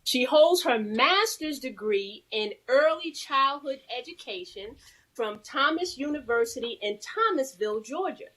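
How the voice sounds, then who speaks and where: A woman with a high voice co-hosts a live talk show. She is playful and exuberant, slipping easily between reading aloud and informal chat. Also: lively intonation, measured pace, a bit bright, low-quality recording.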